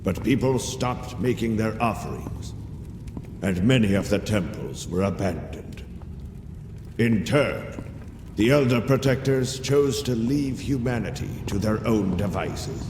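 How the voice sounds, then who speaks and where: An actor playing a wise, gentle storyteller, narrating a legend in a measured, solemn and tender manner for a video game. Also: male voice, on the low side, animated, noisy background. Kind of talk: scripted fairy-tale narration.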